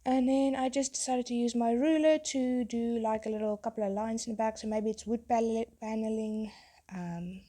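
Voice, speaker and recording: A young woman, a tattoo artist, chatting in a rambling way while she draws, her voice hoarse from being sick. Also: fairly high pitch, fairly even intonation, moderate pace, dark tone. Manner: rambling, chatty, self-interrupting. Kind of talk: casual chat while drawing.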